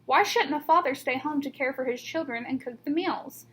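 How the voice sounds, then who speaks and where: A woman reading a children's picture book aloud like a teacher, with deliberate emphasis on key words. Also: high voice, a bit bright. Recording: noticeable background noise.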